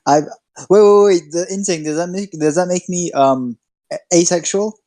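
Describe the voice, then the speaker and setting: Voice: mid-range voice, normal speed, warm tone. Stammering young voice-chat speaker. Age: 20s. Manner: stammering, confused questions. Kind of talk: casual group voice chat.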